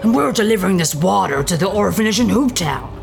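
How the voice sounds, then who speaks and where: An actress playing an indignant, folksy train driver in a sci-fi audio drama, scolding, with a gravelly, creaky voice. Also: female voice, mid-range voice, animated, quick pace, soft background music.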